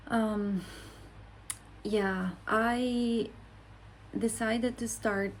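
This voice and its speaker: A Romanian woman in her forties, a content creator talking slowly and thoughtfully to her viewers, with many pauses and fillers. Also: medium pitch, gravelly, slight background noise, rough recording. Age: 40s. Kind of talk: reflective casual monologue to camera.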